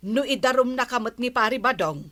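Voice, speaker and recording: A woman's voice: on the high side, lively intonation, brisk, fairly bright tone. A woman playing a stern, admonishing village woman in a comedy radio drama, firm and reproving, with an Ilocano accent.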